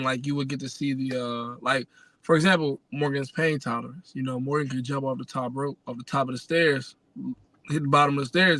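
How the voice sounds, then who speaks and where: A man's voice: medium pitch, bright, forceful tone, dry recording. A man in his early twenties with an American accent, chatting casually as a panel guest. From Maryland, United States. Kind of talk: casual chat.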